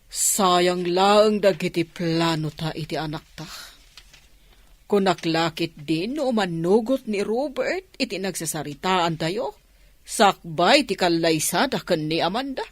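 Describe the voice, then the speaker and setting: A woman's voice: on the low side, expressive, a little slow, no room sound. An actress playing a calculating middle-aged mother in an Ilocano radio drama. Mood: frustrated.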